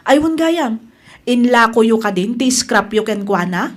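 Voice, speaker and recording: An actress with an Ilocano accent playing an inquisitive, caring village wife in a radio comedy drama. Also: female voice, a little high, expressive, a little slow. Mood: concerned.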